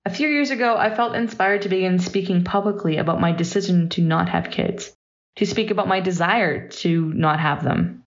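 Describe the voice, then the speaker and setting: A woman in her thirties giving a personal, reflective monologue as a podcast host, candid and emphatic, in short punchy sentences. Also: on the low side. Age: 30s. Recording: dull, slightly lo-fi voice recording.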